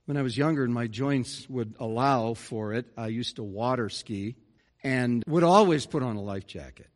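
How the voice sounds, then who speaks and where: A man's voice: mid-range voice, moderate pace. A middle-aged man, a pastor delivering an earnest, conversational sermon with folksy asides. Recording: reverberant church hall.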